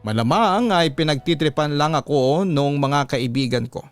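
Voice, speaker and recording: A man's voice: on the high side, lively intonation, moderate pace, soft background music. A man with a Filipino accent reading a first-person story as a dramatic radio narration.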